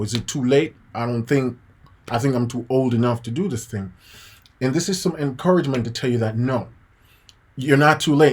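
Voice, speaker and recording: A man's voice: medium pitch, darkish tone, husky. A man from Jamaica in his thirties, a piano teacher live-streaming a motivational lesson, talking casually and repeating his points. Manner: casual and preachy, addresses viewers as guys and man, repeats key points.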